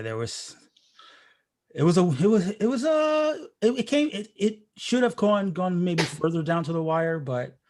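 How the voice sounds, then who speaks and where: A man's voice: mid-range voice, darkish tone. A man hosting a casual podcast, joking around and rambling through many restarts. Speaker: podcast host. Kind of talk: casual chat.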